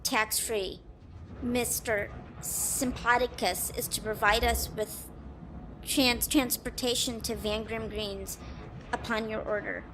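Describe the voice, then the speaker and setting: Woman playing a timid, slow-spoken little farm girl. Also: fairly high pitch, steady tone, background noise. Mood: shy, hesitant. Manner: halting, meek, hesitant. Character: timid, downtrodden young farm girl, meek yet plucky. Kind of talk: audio drama acting.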